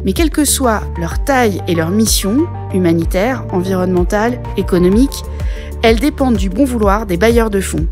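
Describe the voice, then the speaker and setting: A woman's voice: mid-range voice, expressive, brisk. A woman with a standard metropolitan French accent reading scripted documentary narration as a podcast journalist. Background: music bed.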